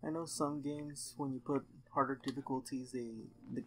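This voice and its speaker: Low-key man chatting over gameplay. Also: level tone, moderate pace, low-quality recording. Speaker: let's play co-host, watching. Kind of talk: casual chat over gameplay.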